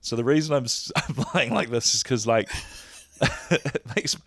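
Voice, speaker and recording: A man hosting a podcast in casual chat, with a deep bassy voice and a rambling, laid-back way of talking. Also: normal speed, a bit bright, no echo. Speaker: podcast host. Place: lying back while recording.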